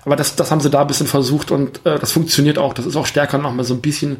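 A podcast co-host speaks. The delivery is casual film-review chat that rambles along, as if thinking out loud. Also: mid-range voice, fairly even intonation, very fast.

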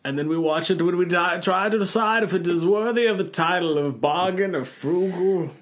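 A man's voice: high-pitched, moderate pace, a crowd in the background. A man co-hosting a silly podcast chat, putting on an affected fancy voice that slides into a mock British accent, laughing often. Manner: put-on posh voice sliding into a mock British accent, giggly. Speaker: podcast co-host.

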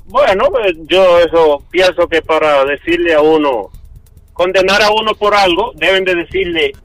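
A man, talking casually on the phone in a halting, repetitive, defensive way. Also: high voice, fairly bright tone, no room sound, faint music behind, low-quality recording. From Dominican Republic. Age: 50s. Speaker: prank call victim.

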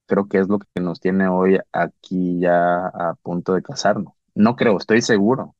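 Deep-voiced earnest man. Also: fairly even intonation, measured pace, no echo, highs cut off. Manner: earnest, thoughtful, hesitant restarts. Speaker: guest, engaged to be married. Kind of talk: reflective casual talk.

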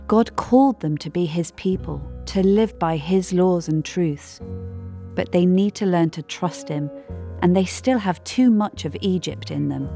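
A woman reading a scripted devotional in a gentle, measured, reflective way. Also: fairly low pitch. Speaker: devotional reader.

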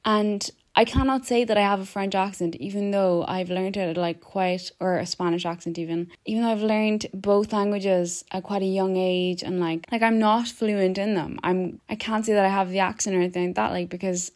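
A young woman hosts a podcast in an easygoing personal monologue. Her pitch sits in the middle, neither high nor low. She talks in a thoughtful way, often says "like", and her sentences tend to fade out softly. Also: steady tone, normal speed, hardly any pauses. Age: young adult. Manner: reflective, often says "like", lines trail off quieter. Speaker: podcast host.

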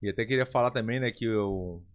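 A man's voice: fairly low pitch, a little fast. A man, a podcast host chatting casually, repeating his words and laughing often. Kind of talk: casual chat.

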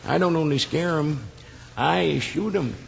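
A man playing a dim, chattering, eager-to-please outlaw henchman in a radio western, with a high creaky voice and rambling rustic American talk, echoing on a dull old recording. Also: a little slow, slight background noise. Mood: boastful. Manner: yappy, rambling, repeats himself, rustic slang. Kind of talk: 1950s radio western acting.

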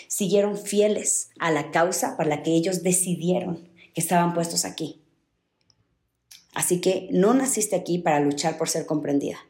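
A woman's voice: on the low side, a little mellow, reverberant. A young woman from Ecuador giving an earnest motivational talk, repeating phrases for emphasis. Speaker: motivational speaker.

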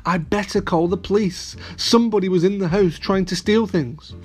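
A man voicing a talkative, excitable female pet dog who rambles on and repeats herself. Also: high voice, normal speed, husky, faint music behind, pitched above the performer's own voice. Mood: alarmed. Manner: rambling nonstop, repetitive.

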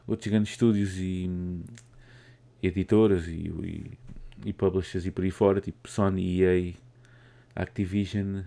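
A man's voice: sits low, warm tone. A man with a European Portuguese accent hosting a casual gaming podcast, rambling along with many fillers. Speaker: podcast host.

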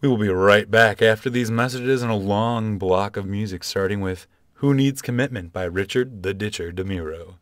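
Male actor as upbeat radio love-advice host, very low voice, dry close sound. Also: moderate pace, few pauses, no room sound, natural voice. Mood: upbeat, agreeable. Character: smooth male radio dating-show host, upbeat, agreeable. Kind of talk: comic radio theatre, radio host patter.

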